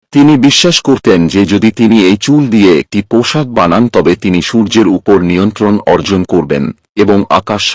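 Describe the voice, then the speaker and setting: Low-voiced man narrating a fairy tale. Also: no room sound. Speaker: storyteller, narrator.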